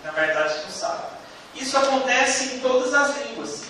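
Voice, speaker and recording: A Brazilian man gives a classroom lecture in a high, bright, forceful voice. He explains didactically, breaking his points into short phrases with emphatic pauses. The sound is heavily echoed, as if picked up across the room. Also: expressive. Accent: Brazilian Portuguese. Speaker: theology teacher. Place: classroom, indoors. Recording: echoey room, distant mic, faint noise.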